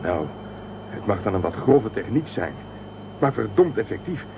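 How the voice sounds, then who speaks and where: Space captain guy, dry and in charge, very animated pitch, noisy radio drama. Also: moderate pace, creaky. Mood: wry, grim. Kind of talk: Dutch sci-fi radio drama acting.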